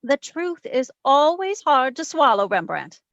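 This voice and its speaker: A woman's voice: high-pitched, sing-song, a little slow, no room sound, highs cut off, full character voice. A woman playing a wisecracking, cool-headed female private investigator in an old-time radio detective drama. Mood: matter-of-fact. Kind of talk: 1940s radio detective acting and narration.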